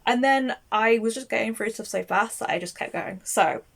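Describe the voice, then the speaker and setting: Croaky-voiced young woman vlogging. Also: on the high side, moderate pace. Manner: chatty, rambling, lots of "um" and "I think". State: croaky from a cold. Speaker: book vlogger. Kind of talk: casual book wrap-up chat.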